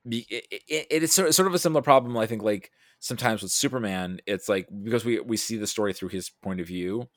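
A man talking casually about films, thinking aloud in a halting, animated way with self-quoting asides. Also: fairly low pitch, no room sound, clean studio sound. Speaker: podcast guest discussing film. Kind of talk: casual film discussion.

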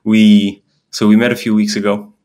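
A man's voice: lowish voice, level tone, normal speed, darkish tone. A man hosting a podcast, talking casually and enthusiastically with his guest. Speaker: podcast host. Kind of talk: casual interview talk.

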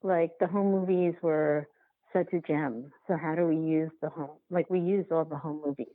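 A woman being interviewed as a documentary filmmaker, talking casually and haltingly, repeating and rephrasing as she thinks aloud, over a remote call. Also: low voice, steady tone, no room sound. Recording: phone-like narrow-band line.

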